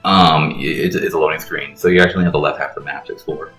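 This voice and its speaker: A man's voice: rumbling low, fairly even intonation, fast, fairly bright tone. A man giving casual, explanatory and sometimes sweary commentary while playing a video game. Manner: explanatory, profane. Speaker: let's play host playing and explaining the game. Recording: roomy echo with game music underneath.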